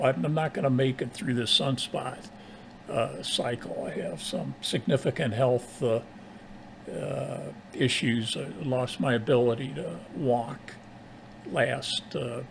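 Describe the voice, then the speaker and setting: An American man with a creaky, gravelly voice chats casually as a ham radio operator. He talks in a halting, rambling manner. There is some faint noise behind him. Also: medium pitch. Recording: clearly noisy background.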